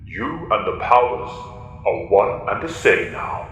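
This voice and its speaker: A man playing a wise, commanding alien mentor in an audio drama, speaking in a formal, grave, measured way. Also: sing-song, fairly bright tone, faint music behind. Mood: solemn, commanding. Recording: booming long reverb, dull, noisy.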